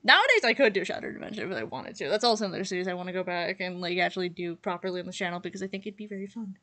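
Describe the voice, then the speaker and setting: A woman's voice: on the low side, lively intonation, rapid-fire, sharp tone. Young woman, nasal morning voice, rambling casual chat, lots of fillers, self-mocking. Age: young adult. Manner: chatty, rambling, many fillers, laughs at herself. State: nasal from just waking up. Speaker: channel host. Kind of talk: casual reaction commentary.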